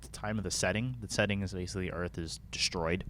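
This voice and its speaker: Deep-voiced, halting young man. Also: fairly even intonation, fairly bright tone, a little hiss or hum. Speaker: podcast co-host. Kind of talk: casual chat about games and films.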